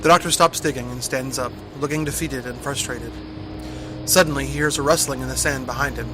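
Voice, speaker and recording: A man's voice: mid-range voice, steady tone, a little fast, background noise. A man reading scripted narration for a fan audio drama.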